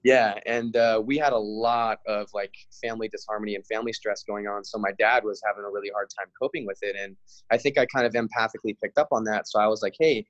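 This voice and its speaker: Man, twenties, American accent, lower pitch, close dry sound, casual earnest explainer, slangy. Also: steady tone, fast talker, no room sound, highs cut off. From Hawaii, Big Island, United States. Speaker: podcast guest, fitness and bodywork practitioner. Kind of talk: casual reflective interview talk.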